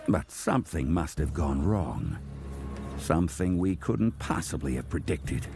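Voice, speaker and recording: Very deep cartoon detective crocodile. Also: male voice, animated, unhurried, creaky, exaggerated voice. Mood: wry, self-assured. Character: male detective crocodile narrating his case, bumbling, boastful. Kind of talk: hardboiled detective audio drama narration. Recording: music behind the voice.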